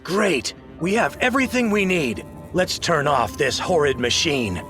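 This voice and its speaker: A resolute detective in a mystery game. His voice is a little high and moves animatedly as he delivers purposeful, theatrical lines over faint music. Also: very animated, unhurried. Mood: focused. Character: earnest male detective, resolute and businesslike. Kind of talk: video game cutscene acting.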